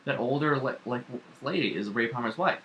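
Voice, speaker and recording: A man's voice: mid-range voice, a little fast, a bit bright, slight background noise, rough recording. A man talking as a podcast co-host in casual, opinionated pop culture chat, informal, rambling and emphatic.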